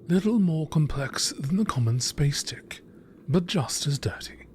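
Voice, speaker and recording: A man reading scripted nature documentary narration in a measured, expository manner. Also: fairly high pitch, a little mellow, a little hiss or hum. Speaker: narrator reading game text.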